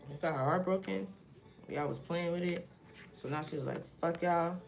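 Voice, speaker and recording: A woman's voice: lowish voice, moderate pace, gravelly, slight background noise. A Haitian-accented woman from Haiti doing a live tarot reading, casual and profane, asking the cards questions aloud as she thinks. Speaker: tarot reader.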